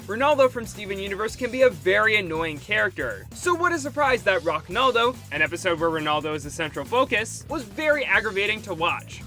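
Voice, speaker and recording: A man's voice: sits high, bright, forceful tone. A man narrating a scripted, opinionated cartoon review as the host, critical in his delivery.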